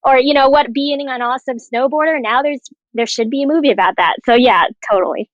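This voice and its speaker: A woman's voice: sits high, fairly quick, no echo, lo-fi sound. A woman speaking casually as a guest in a panel chat.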